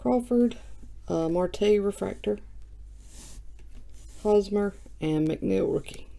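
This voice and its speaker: A woman who has just woken up, with a low morning voice, chatting casually while opening trading cards on camera. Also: takes it slowly, vocal fry, warm tone. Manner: chatty and self-mocking, reading off card names. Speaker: card breaker. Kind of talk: casual card-opening vlog.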